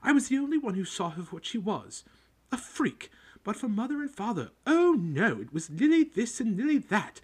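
A man's voice: on the high side, wildly swooping pitch, slow, few pauses, slightly rough, whispered, voice pushed up, full character voice. A man reading an audiobook, voicing a bitter middle-aged woman who rants spitefully. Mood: bitter ranting. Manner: ranting, spiteful. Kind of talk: audiobook character voice.

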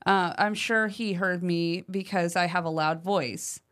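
A woman's voice: fairly low pitch, steady tone, measured pace, fairly bright tone. Chatty woman podcast co-host. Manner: chatty, uses fillers like "like" and "um". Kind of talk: casual podcast chat, reading listener mail aloud.

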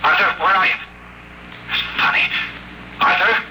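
An actor playing a desperate, urgent asylum patient plotting escape in a vintage radio drama. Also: male voice, high voice, fairly even intonation, moderate pace, sharp tone. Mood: panicked. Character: frantic male asylum patient whispering an escape plan. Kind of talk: 1950s radio drama acting. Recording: noisy old radio broadcast recording.

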